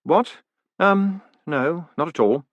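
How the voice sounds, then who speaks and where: A man voicing a quick-witted, polite new postmaster in an audiobook, conversational and slightly flustered. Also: on the high side, no echo, muffled recording, pitched above the performer's own voice. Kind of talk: audiobook character voice.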